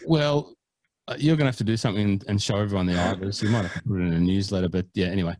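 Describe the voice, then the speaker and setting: A man's voice: on the low side, rapid-fire, darkish tone, dry recording. A man co-hosting a golf podcast in casual chat, playful and mock-earnest, hamming up foreign pronunciations. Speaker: podcast co-host.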